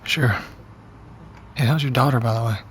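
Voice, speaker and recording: A young man playing a guarded, sardonic character, terse and deadpan, in a naturalistic audio drama. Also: mid-range voice, normal speed, a little hiss or hum, lightly acted.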